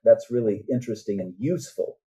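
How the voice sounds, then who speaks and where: A man's voice: lowish voice, a little fast, mellow, slightly rough. A man speaking as an author guest on a podcast, explaining things earnestly in a conversational way and often checking in with the listener.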